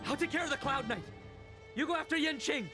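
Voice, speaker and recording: A man's voice: very high-pitched, brisk, music in the background. A man playing an alert, dutiful young guard in a video game. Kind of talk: dramatic video game dialogue.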